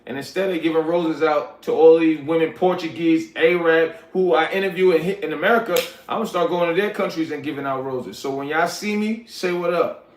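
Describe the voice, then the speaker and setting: A man's voice: a little high, fairly quick. A man hosting a casual livestream, chatting to viewers in a laid-back, slangy way and calling them "y'all". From Liberia. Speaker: livestream host reading chat. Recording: roomy echoey sound.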